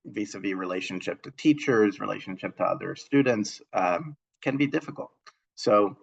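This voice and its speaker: A young panelist with a low, gravelly voice gives earnest, measured remarks, with plenty of fillers and restarts. He is heard over a dull, lo-fi video call line. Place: home room on a video call. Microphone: video call mic.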